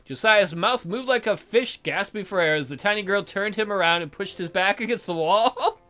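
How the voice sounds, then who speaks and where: Guy doing a let's play readthrough, bright high voice, cracks irreverent comments as he narrates, bit of music under him. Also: animated, normal speed. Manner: irreverent, amused, breaks off to comment. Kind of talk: reading visual novel aloud with joking asides.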